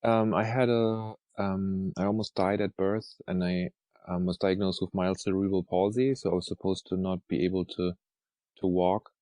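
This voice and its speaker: Deep-voiced hesitant man from Germany. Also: level tone, moderate pace, warm tone, no echo. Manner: hesitant, many restarts and ums. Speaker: artist guest. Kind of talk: casual interview talk.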